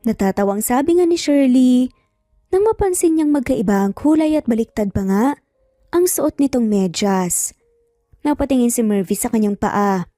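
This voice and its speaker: A woman's voice: on the high side, lively intonation, normal speed, mellow, clean studio sound. A woman narrating a scripted dramatic story as a storyteller. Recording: roomy echo, faint background music. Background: faint music.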